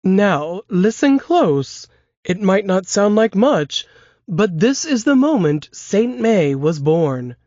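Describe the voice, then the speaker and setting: A woman's voice: mid-range voice, sing-song, slow, mellow, dry recording, muffled recording. A woman voicing an older storyteller woman. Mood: confiding. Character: older woman telling a young boy a tale.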